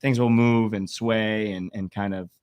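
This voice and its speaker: Laid-back slangy man, lowish voice. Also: steady tone, a little slow, a little mellow, clear, resonant tone, dry recording. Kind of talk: casual group chat.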